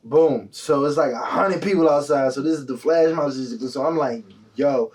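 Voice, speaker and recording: A man's voice: medium pitch, normal speed, distant mic. A young man, a guest telling his story in casual slang full of fillers. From Delaware County, Pennsylvania. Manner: animated, heavy slang, lots of fillers.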